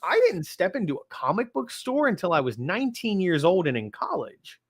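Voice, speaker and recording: A man's voice: high voice, brisk, dry recording, studio quality. A man from the Bahamas chatting casually in a film review, telling stories animatedly and acting out quotes. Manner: animated storyteller who acts out quotes. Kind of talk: casual chat.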